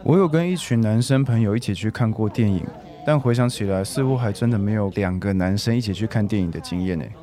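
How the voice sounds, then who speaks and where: Guy doing a chill schoolboy in a radio play, lowish, matter of fact, nothing fazes him. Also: fast, dark tone, natural voice. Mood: casual. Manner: casual, matter-of-fact, nonchalant. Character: laid-back, unfazed high school boy, teasingly generous. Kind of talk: radio drama dialogue.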